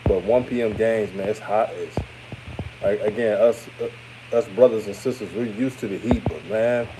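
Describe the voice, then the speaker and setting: Man, 30s, deep voice that reads older, casual live-stream sports talk, noisy hum behind. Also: normal speed. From Houston, Texas. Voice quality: deep voice that sounds older than his age. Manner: relaxed, chatty, repeats himself, trails off. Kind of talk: casual live-stream hot takes, reading chat comments. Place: his room after a game.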